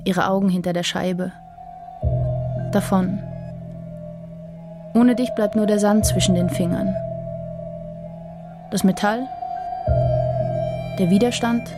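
An actress playing a wistful, sorrowful poetic narrator in a radio play, in measured fragments over loud music. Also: female voice, mid-range voice, close to an everyday voice.

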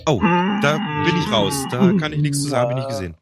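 A man's voice: on the high side, expressive, fast, loud music behind the voice. A man hosting a casual podcast chat, laughing often and tripping over his own words. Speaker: podcast host.

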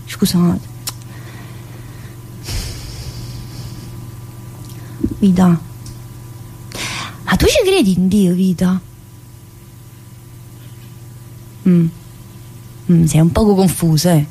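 A woman's voice: fairly low pitch, lively intonation, dark tone, a little hiss or hum, rough recording. An actress playing a talkative, troubled woman locked in a psychiatric prison, in a radio theatre monologue, with a Neapolitan accent and a colloquial, confiding, rambling manner. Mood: chatty, resigned. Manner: colloquial, confiding, rambling, with abrupt pauses and interjections.

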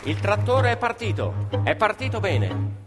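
A man's voice: huge pitch swings, unhurried, a crowd in the background. A man telling a story as dramatic narrative theatre, emphatic and repeating phrases for effect. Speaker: stage storyteller and actor. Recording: live music playing behind the voice. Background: live violin, piano and double bass music.

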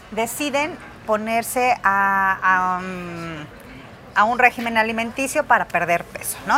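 A woman's voice: medium pitch, pushed and bright, a little hiss or hum. A woman with a Mexican accent, co-hosting a podcast in casual chat, rambling and restarting her sentences as she recommends films. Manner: chatty, rambling, with many restarts and fillers.

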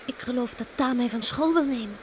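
A woman playing a headstrong, warm-hearted young farm girl in a radio drama, plaintive and insistent. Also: a little high, fairly quick, lightly acted. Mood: contented, confiding. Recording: noisy old radio play recording.

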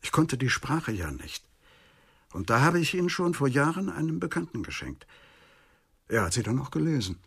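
Weary middle-aged lawyer, husky, thoughtful and halting, for a radio crime play. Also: male voice, medium pitch, big pitch swings, exaggerated voice. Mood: wistful, reflective. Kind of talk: German radio crime drama acting.

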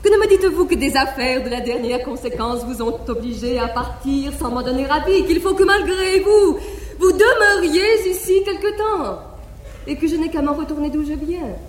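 An actress playing a proud, wronged noble wife who declaims with bitter irony in a classical stage drama, on an old live theatre recording. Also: female voice, high voice, lively intonation, moderate pace, reverberant, in a large hall, a little hiss or hum. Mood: ironic.

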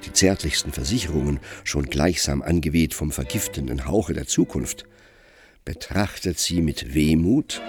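A man narrating a literary radio play in a measured, grave storytelling manner. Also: very deep voice, creaky.

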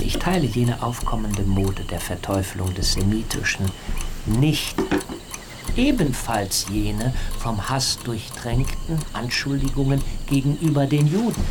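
Indignant idealist man, low register, formal and emphatic radio monologue over clear ambient noise. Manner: formal, emphatic, long-winded. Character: earnest, indignant idealist man, privately disapproving.

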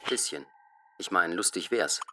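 A man's voice: sits low, lively intonation, measured pace, pushed and bright, a little hiss or hum, exaggerated voice. An actor playing a curious, mildly grumpy young man in a radio drama, talking in short casual phrases.

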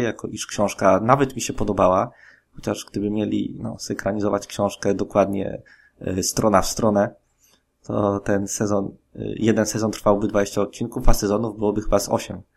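Husky, creaky low-voiced man. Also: level tone, brisk. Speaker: podcast guest commentator. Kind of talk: spoken opinion commentary.

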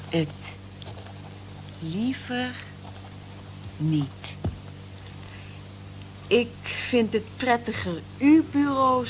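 An actress playing a modest, conscientious cleaning woman in a radio play, speaking in an earnest, polite way. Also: mid-range voice. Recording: dull, noisy old radio play recording.

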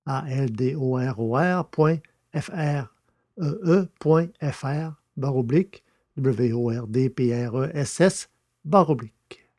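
A man's voice: mid-range voice, slow, a little mellow. A man hosting a podcast and narrating audiobooks in a scripted presenting style.

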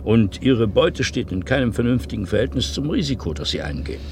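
Shrewd police inspector, swooping intonation. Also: male voice, fairly low pitch, sing-song. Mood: knowing, lecturing. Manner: probing, lecturing, drawn-out emphasis. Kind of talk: German radio crime play acting. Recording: vintage radio play, clearly noisy.

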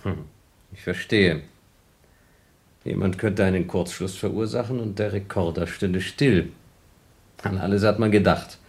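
A restless male astronaut in a radio drama, cynical and slyly persuasive. His voice sits fairly low, and he talks in a conversational way with a sardonic, needling edge. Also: steady tone, unhurried, pauses often, a little mellow. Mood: restless, evasive.

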